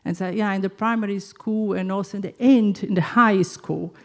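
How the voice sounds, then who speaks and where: A Brazilian woman with a Portuguese-speaker's accent, an audience member speaking off the cuff in halting, searching phrases. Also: mid-range voice, steady tone. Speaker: audience member, art teacher. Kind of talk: unscripted audience comment at a discussion event.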